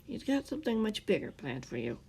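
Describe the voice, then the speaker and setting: A woman voicing a short-tempered male captor who snaps and growls loudly. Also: medium pitch, big pitch swings, fairly quick, creaky, slightly rough, voice pushed up, full character voice. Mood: menacing. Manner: snapping, growling and loud.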